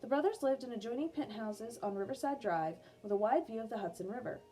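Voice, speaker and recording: A woman reading an educational biography aloud for children as a music teacher, in a clear, measured storytelling manner. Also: a little high, animated, mellow. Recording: music playing behind the voice. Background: background music.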